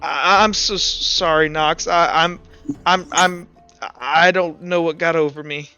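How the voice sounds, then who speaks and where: A man voicing a nervous, emotional young fellow who talks in a halting, apologetic stammer. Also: high-pitched, pushed and bright, smooth, highs cut off, faint music behind, pitched above the performer's own voice. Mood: distraught, apologetic.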